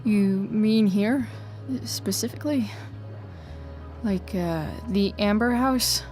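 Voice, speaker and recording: A woman plays a rebellious teenage girl in a naturalistic game drama. She talks slowly and haltingly, with guarded, sarcastic slang. Music plays behind her. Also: medium pitch, darkish tone, natural voice. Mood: careful, placating. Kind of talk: naturalistic video game voice acting.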